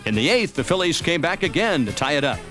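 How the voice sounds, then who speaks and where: A man's voice: on the high side, sing-song, quick pace. An American man reading scripted narration that recaps a baseball series. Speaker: documentary narrator. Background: music.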